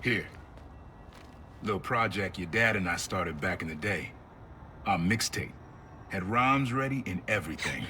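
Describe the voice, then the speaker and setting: Bright-voiced, streetwise middle-aged uncle. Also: male voice, low-pitched, animated, unhurried, pauses often, slight background noise, exaggerated voice. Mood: reflective, encouraging. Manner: direct, casual, avuncular. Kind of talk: video game cutscene acting.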